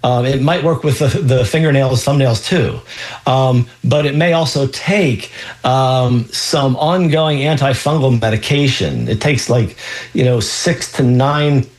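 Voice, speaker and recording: A man's voice: mid-range voice, measured pace. A man hosting a call-in radio health show as a physician, explaining answers with frequent ums and restarts.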